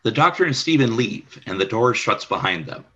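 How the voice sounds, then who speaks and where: A man's voice: lowish voice, very animated, moderate pace, bright, forceful tone, breathy edge, highs cut off. A man narrating a radio play from a script, describing the action.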